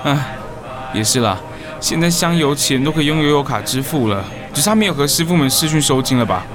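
A man's voice: fairly high pitch, expressive, a little fast, natural voice. A man acting a chatty young newcomer in an audio drama, muttering playfully to himself in Taiwanese Mandarin. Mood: playful, wry. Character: chatty, wry young man new to the neighborhood. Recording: clear noise behind the voice.

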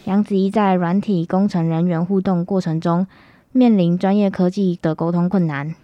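A woman's voice: fairly low pitch, fairly even intonation, moderate pace, dry recording, muffled recording. A woman campus radio reporter with a Taiwanese Mandarin accent, reading a scripted news report. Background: music.

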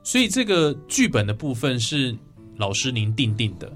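A man's voice: mid-range voice, sing-song, moderate pace. A man with a Taiwanese Mandarin accent hosting a conversational interview, asking questions and summing up answers. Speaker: radio show host. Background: soft guitar music.

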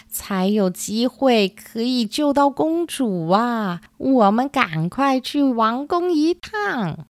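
A woman's voice: on the high side, no echo, voice pushed up. A woman voicing an earnest, concerned tulip fairy with an emphatic manner in a children's storybook radio play.

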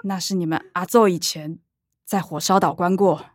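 A woman's voice: lowish voice, steady tone, normal speed, no echo, studio quality, close to an everyday voice. Actress as a coaxing middle-aged mother. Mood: insistent. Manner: coaxing, insistent. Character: coaxing, scheming middle-aged mother of two adult daughters. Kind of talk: radio drama acting.

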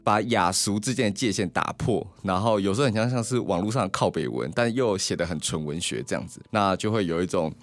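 A man's voice: low voice, expressive, a little fast, few pauses. A man with a Taiwanese Mandarin accent co-hosting a podcast in casual, joking, slightly campy chat. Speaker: podcast co-host.

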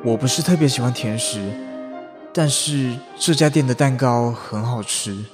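A man's voice: mid-range voice, normal speed, music in the background, close to an everyday voice. An actor playing a reserved, teasing young male design student in an audio drama, with a Taiwanese accent. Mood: wistful, quiet. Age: young adult. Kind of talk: audio drama acting with narration.

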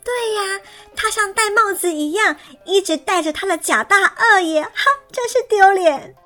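A woman's voice: moderate pace, pushed and bright, faint music behind. A woman voicing indignant, mocking insect villagers in a children's story. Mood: jeering. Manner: shrill, jeering.

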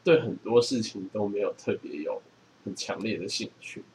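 Taiwanese guy, lowish voice, just chatting kinda detached, slight noise behind him. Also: moderate pace, a little mellow, low-quality recording. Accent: Taiwanese Mandarin. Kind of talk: casual chat.

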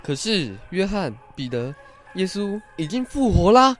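A man's voice: sits high, big pitch swings, unhurried, faint music behind. An actor playing an eager, friendly village man and disciple in a theatrical radio Bible drama. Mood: eager, insistent.

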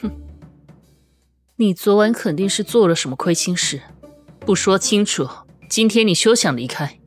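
女声演绎冷冽强势的年轻女子. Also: female voice, mid-range voice, lively intonation, moderate pace, faint music behind, close to an everyday voice. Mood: icy, threatening. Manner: curt, pressing, icy. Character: cold, domineering, suspicious young woman. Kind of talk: audio novel acting.

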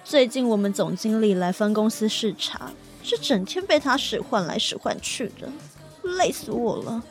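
A woman's voice: fairly high pitch, expressive, moderate pace, faint music behind, lightly acted. A woman voicing a flustered young female office worker on probation, who stammers and panics easily. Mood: exasperated. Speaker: voice actor in an audio drama. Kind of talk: modern audio drama acting.

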